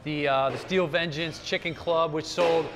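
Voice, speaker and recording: A man's voice: fairly high pitch, steady tone, moderate pace, background noise. A man, hosting a promotional interview in his restaurant, enthusiastic and chatty. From Cleveland, Ohio. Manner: enthusiastic, chatty, many fillers like "like". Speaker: restaurant owner hosting the chat. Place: inside a decorated restaurant.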